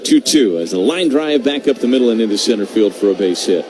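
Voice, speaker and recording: Man calling baseball over loud noise. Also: fairly low pitch, expressive, quick pace. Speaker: baseball play-by-play radio announcer. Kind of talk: live baseball radio commentary. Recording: noisy live radio broadcast.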